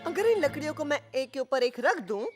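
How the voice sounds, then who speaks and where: A woman's voice: high voice, very animated, brisk. A woman voicing a mischievous, overconfident young boy in a dubbed children's cartoon, cocky and breezy. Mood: cocky, reassuring. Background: music.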